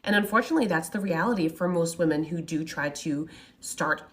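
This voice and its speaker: A woman with a slightly low voice gives conversational commentary. She presents like a host, dropping in wry asides and rhetorical questions as she goes. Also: brisk.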